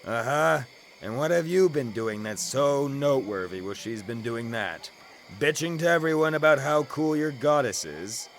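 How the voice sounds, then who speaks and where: A man's voice: medium pitch, lively intonation, moderate pace, slight background noise, close to an everyday voice. A man playing a groggy, hard-drinking fantasy adventurer, blunt and sarcastic, in an audio drama. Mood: groggy, irritated.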